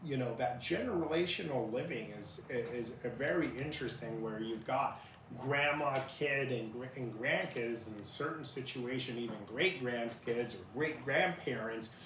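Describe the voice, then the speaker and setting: A man's voice: medium pitch, measured pace. A man in his thirties from Iraq who grew up in Utah, a native Arabic speaker answering interview questions conversationally. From born in Iraq, grew up in Utah. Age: 30s. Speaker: interview guest, family lawyer. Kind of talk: conversational life-story interview. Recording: roomy, distant mic with faint noise.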